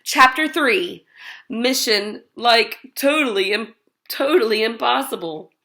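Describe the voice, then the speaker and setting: Slow, bright-voiced woman reading a storybook. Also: a little high. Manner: deliberate, animated character reading. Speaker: storybook reader. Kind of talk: expressive children's read-aloud.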